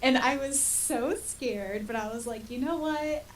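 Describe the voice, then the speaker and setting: A woman's voice: sits high, measured pace. Woman in her thirties, slightly distant mic. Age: 30s. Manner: chatty, self-deprecating, colloquial. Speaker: podcast host. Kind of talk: casual personal storytelling. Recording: distant mic with faint hiss.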